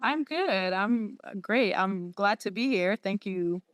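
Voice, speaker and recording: A woman in her late twenties, from Syria, speaks. Her voice is smooth and resonant, recorded close and dry. She tells her story earnestly, stopping often to restart her sentences. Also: mid-range voice, lively intonation, no room sound. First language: Arabic. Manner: earnest, halting with many fillers and restarts. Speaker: podiatry student guest. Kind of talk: personal story in interview.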